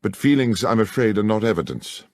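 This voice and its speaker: A man playing a shrewd gentleman detective with an English accent, formal, precise and authoritative. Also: lowish voice, expressive, fairly quick, no echo, voice pushed up, lightly acted. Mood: pensive. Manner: crisp, precise, formal, commanding.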